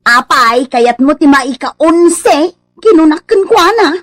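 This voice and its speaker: A put-upon, jealous wife with a high, very lively voice, recorded dry. She complains dramatically in an Ilocano accent, as in a comedy radio drama with music underneath. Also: slow pace, no room sound, full character voice. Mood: aggrieved.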